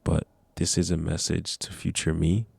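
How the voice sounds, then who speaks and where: A man's voice: low voice, monotone, moderate pace, gravelly, darkish tone, husky, dry recording. A nineteen-year-old man talking casually to camera, giving earnest, rambling motivational advice. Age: young adult. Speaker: vlogger.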